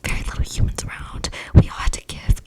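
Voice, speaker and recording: A woman voicing an eccentric, flippant young vampire in a breathy ASMR whisper, rambling in casual slang close to the microphone. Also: fast, close to an everyday voice. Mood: chatty, confiding. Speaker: ASMR roleplayer. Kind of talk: whispered ASMR storytelling roleplay. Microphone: close microphone picking up breaths.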